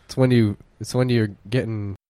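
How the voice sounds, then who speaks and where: A man co-hosting a podcast in casual joking chat, lively and playful. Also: fairly low pitch, moderate pace, no echo. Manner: lively, jokey, drifts into silly voices. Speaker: podcast co-host.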